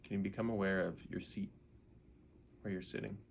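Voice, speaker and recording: A man leading a guided meditation in an unhurried way, gently asking questions and leaving pauses. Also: fairly low pitch, fairly even intonation, vocal fry, a little hiss or hum.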